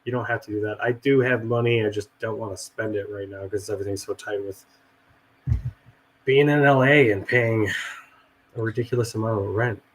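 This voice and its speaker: A middle-aged man with a hoarse, raspy voice from a cold, rambling and swearing casually in a relaxed chat. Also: on the low side, normal speed, a little hiss or hum, low-quality recording. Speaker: veteran guest and actor.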